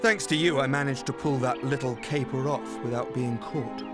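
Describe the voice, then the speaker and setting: Man acting a cocky young rogue, medium pitch, smooth-talking, video game character, loud music behind. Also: fairly even intonation, moderate pace, natural voice. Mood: smug, teasing. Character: suave, roguish young man, cocky charming trickster.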